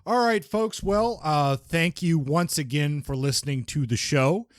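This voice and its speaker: A man's voice: a little high, measured pace, no room sound, studio quality. A man hosting a podcast in a casual, conversational style, addressing the listeners. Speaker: podcast host.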